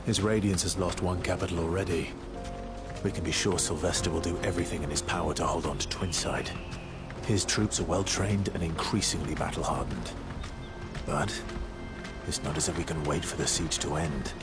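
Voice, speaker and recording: A man voices a grim adventurer from a fantasy game. The voice is deep and gruff with plain, determined delivery, and loud music plays behind it. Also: steady tone, moderate pace, close to an everyday voice. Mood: grim, resolved. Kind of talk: dramatic fantasy game voice acting.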